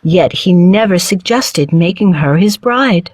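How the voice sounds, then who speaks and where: Slow-paced woman narrating a fairy tale. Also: fairly low pitch, expressive, darkish tone. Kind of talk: scripted children's storybook narration.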